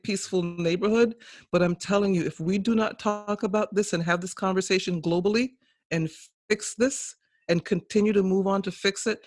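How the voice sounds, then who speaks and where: A man's voice: sits high, no echo, studio quality. A man speaking earnestly and emphatically in a podcast conversation. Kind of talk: impassioned casual conversation.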